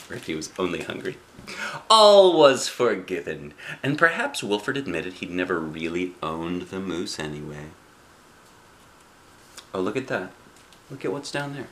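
Expressive middle-aged dad reading aloud. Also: male voice, low-pitched, slight background noise. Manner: playful, expressive, asking questions. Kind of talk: read-aloud storytelling with chatty asides. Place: small living room indoors. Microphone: camera a short distance away.

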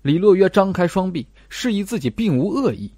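A man's voice: high voice, very animated, brisk, mellow, no echo, clean studio sound. A man narrating a suspense audiobook in a scripted storytelling style.

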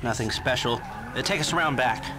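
An actor playing a cautious, brainy American heist planner in a video game, matter-of-fact and dismissive. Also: male voice, medium pitch, fairly quick, lightly acted. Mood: businesslike.

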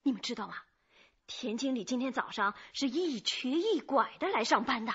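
Nosy female shop worker, radio drama: swooping, slightly raspy, emphatic and eager to tell all. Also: a little high, animated, normal speed, fairly bright tone, lo-fi sound, full character voice. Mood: knowing, eager. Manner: chatty, emphatic, insinuating, rhetorical questions. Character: gossipy, eager female store employee informing police.

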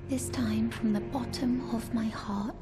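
Actress playing a gentle young daughter in a game, breathy whisper, slow and sad, highish voice, music behind. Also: female voice, fairly even intonation, close to an everyday voice. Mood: wondering, grateful. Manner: slow, tender, sorrowful delivery. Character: gentle young woman, troubled daughter of a manor lady. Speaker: game character. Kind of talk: video game cutscene acting.

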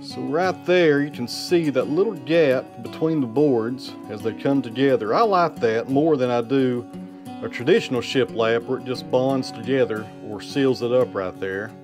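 A man in his thirties talks viewers through his woodshop machinery in a plain-spoken, folksy way, calling them friends and guys. He holds the camera close at arm's length in an indoor workshop. Plucked guitar music plays clearly under his voice. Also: medium pitch, normal speed. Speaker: sawmill vlogger. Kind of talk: casual how-to explanation to camera.

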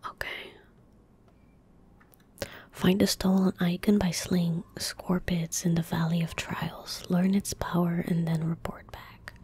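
A woman in her twenties speaking in a breathy, gentle whisper close to the mic, giving unhurried ASMR commentary as she plays a game. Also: on the low side, flat delivery. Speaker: ASMR gaming streamer. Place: indoor room at a desk. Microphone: close desk microphone.

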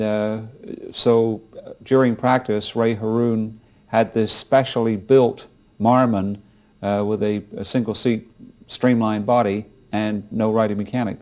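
A man's voice: on the low side, a little mellow. A middle-aged American man telling an anecdote conversationally in an interview, explaining in a hesitant, stop-and-start way. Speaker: interviewed racing historian. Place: indoor room, filmed interview.

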